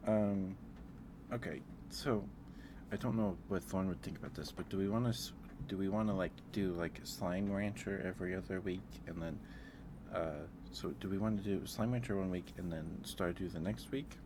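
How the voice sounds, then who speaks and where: A man's voice: low-pitched, normal speed, background noise. A man hosting a casual livestream, thinking aloud in a hesitant way. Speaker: livestream host.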